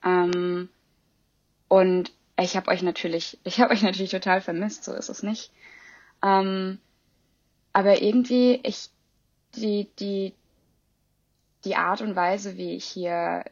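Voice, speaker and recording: Young woman with a gravelly lo-fi voice. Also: mid-range voice, flat delivery, slow pace, pauses often, no echo. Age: 20s. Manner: chatty, many fillers and restarts. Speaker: interviewee. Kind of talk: casual interview chat. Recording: dull lo-fi dry sound.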